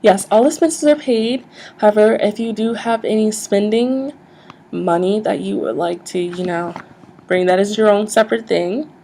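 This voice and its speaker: A soft-spoken woman in an ASMR roleplay, acting as a polite, businesslike job interviewer who explains things a little hesitantly. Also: medium pitch, normal speed, creaky, slight background noise.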